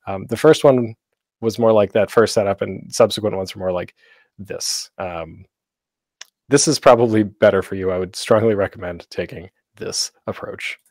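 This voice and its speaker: Low-voiced man presenting informally. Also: no room sound.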